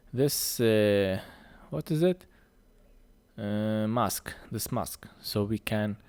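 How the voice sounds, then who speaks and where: A man who speaks English as a second language gives a hands-on repair tutorial in halting short phrases, a little short of breath. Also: lowish voice, a little mellow, dry recording. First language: not English. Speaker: phone repair technician presenting a tutorial.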